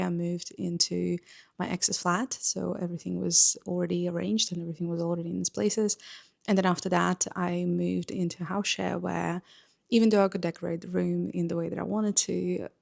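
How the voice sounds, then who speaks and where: Gravelly-voiced woman vlogging about books. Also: lowish voice, darkish tone, no echo, clean studio sound. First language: Russian. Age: 30s. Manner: chatty and earnest, with some filler pauses. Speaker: book vlogger. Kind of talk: casual vlog talk to camera. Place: small home room with bookshelves, indoors.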